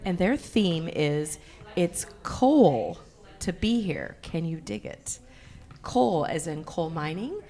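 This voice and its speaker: A woman co-hosts a relaxed podcast, talking casually and breaking into laughter now and then. Her voice sits on the low side and sounds slightly hoarse. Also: slow pace. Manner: chatty, laughs often. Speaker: podcast co-host. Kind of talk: casual chat.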